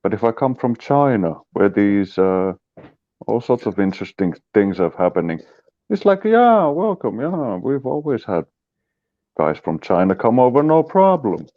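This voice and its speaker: Gravelly low-voiced man. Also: unhurried, no room sound, muffled recording. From Finland. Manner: animated, acts out quoted reactions. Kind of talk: casual online chat.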